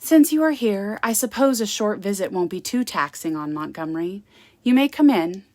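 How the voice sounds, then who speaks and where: A woman's voice: medium pitch, expressive, normal speed, a little mellow, no echo, close to an everyday voice. A woman voicing a cold, formal society mother in a gothic romance storybook reading. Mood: icy, disapproving. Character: icy, formal society mother guarding her grand manor.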